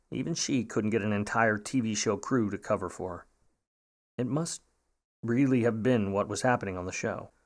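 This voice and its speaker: An actor playing a wry, slangy, smooth-talking private eye in an old-time radio noir drama. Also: male voice, on the low side, close to an everyday voice. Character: grudging, smooth-talking hardboiled 1950 Hollywood private eye.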